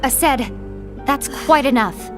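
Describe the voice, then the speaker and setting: A woman playing a composed, dutiful young mediator in a video game cutscene, earnest, polite and measured. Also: high-pitched, over music. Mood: composed, concerned.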